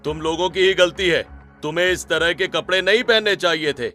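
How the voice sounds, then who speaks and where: A stern, rule-bound middle-aged secretary in a story drama, acted by a man. The voice sits high for a man and has a forceful, bright edge. Music plays faintly behind the scolding. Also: brisk. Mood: scolding, dismissive. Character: stern, moralizing middle-aged male housing society secretary. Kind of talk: acted Hindi story dialogue.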